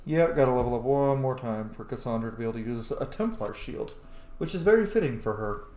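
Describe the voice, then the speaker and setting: Animated man commentating a game, roomy sound. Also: medium pitch, fairly quick. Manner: profane, irreverent, reacts aloud to the game. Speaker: gamer playing and commenting on a fantasy roleplay game. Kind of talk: casual gameplay commentary. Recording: roomy, faint background noise.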